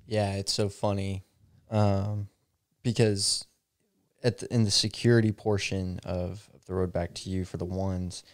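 Gravelly young man on a podcast. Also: low voice, a little slow, no echo, clean studio sound. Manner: chatty, animated, laughs easily, says "right?" often. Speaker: podcast co-host. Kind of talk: casual chat. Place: small home studio room. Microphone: boom-arm podcast mic.

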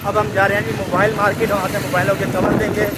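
A man's voice: high-pitched, flat delivery, fast talker. A young man from Pakistan, chatty and casual, narrating a market vlog into a handheld camera on a crowded outdoor street. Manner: chatty, bargains insistently with shopkeepers. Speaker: vlogger. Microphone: handheld camera at arm's length. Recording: loud street noise behind.

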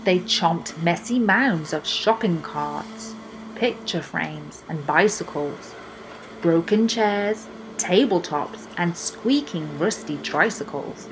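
Young woman, children's story reader, unhurried pace, emphatic phrasing, lowish pitch, some ambient noise behind. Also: animated. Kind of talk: expressive storybook read-aloud for children.

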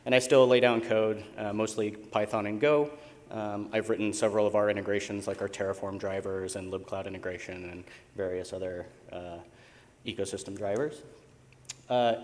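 An American man speaks as a keynote presenter on a conference stage. His voice sits fairly low, and his delivery is informal and a little hesitant, dotted with ums. The sound carries the long reverb of a big hall. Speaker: conference keynote speaker, tech company co-founder.

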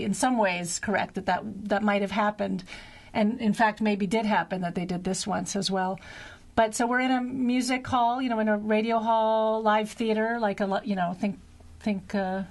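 A middle-aged woman giving casual, halting, self-correcting explanations as a radio interview guest, close to a studio microphone. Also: mid-range voice. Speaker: theater director, interview guest. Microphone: boom-mounted broadcast microphone up close.

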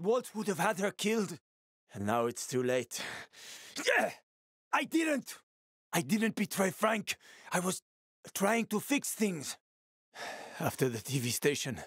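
A hardened male video game survivor with a medium-pitched voice. He sounds worn by the world, sardonic and streetwise, with menace never far off. The delivery is dramatic and the sound is close and dry, free of room. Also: slightly rough, no echo. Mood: weary, regretful, then agitated, angry. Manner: gritty, sardonic, foul-mouthed.

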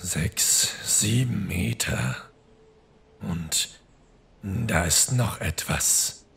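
A man voicing a mysterious goat-man of the woods in an audio horror story, gravelly and husky, cryptic and dry-witted. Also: low voice, expressive, fairly bright tone, pitched below the performer's own voice, heavily acted. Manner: slow, cryptic, deliberate.

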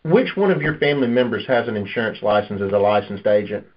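A man's voice: lowish voice, lively intonation, fast talker. A man coaching sales in a conversational, persuasive and explanatory way over a conference call line. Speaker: insurance sales manager and trainer. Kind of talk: conversational sales coaching with role-play pitches. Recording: dull, lo-fi conference call audio.

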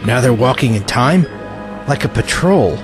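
A man's voice: mid-range voice, expressive, moderate pace, music in the background, natural voice. A man playing a brave, earnest young soldier in a war audio drama. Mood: tense. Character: brave young army private, earnest, self-sacrificing.

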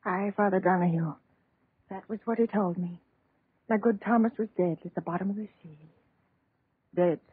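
Husky, frail old widow on tinny radio. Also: female voice, mid-range voice, normal speed, pauses often. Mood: mournful narration. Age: older. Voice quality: husky, rough. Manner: plaintive, lamenting storyteller with pious exclamations. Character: frail, pious, grieving old widowed mother. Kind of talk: 1930s radio horror acting. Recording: tinny, band-limited 1930s radio recording.

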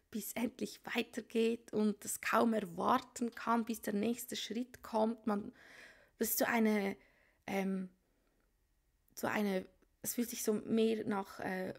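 Woman tarot reader with a cold, gravelly and a bit high, casual and musing, drops into whispers. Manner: musing, thinks aloud, frequent whispered asides. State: has a cold. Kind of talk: casual tarot reading to viewers. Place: small room at a card table.

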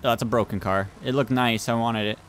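A man's voice: mid-range voice, fairly even intonation, quick pace, slight background noise. A man in casual chat with fellow players during a multiplayer game. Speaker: gamer in group roleplay.

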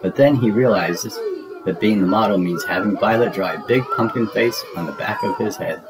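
A man narrating a children's storybook in an expressive, deliberate storytelling manner. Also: fairly low pitch, a loud crowd, heavy background noise. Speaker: read-aloud narrator. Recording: music behind the voice. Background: music.

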